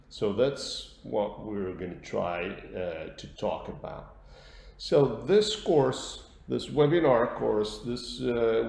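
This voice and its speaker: A man with a Portuguese accent presenting an instructional webinar as a dentist, repeating words for emphasis in halting phrases. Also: fairly low pitch, creaky, a little mellow. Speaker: dentist giving a webinar lecture. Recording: roomy echo with light background noise.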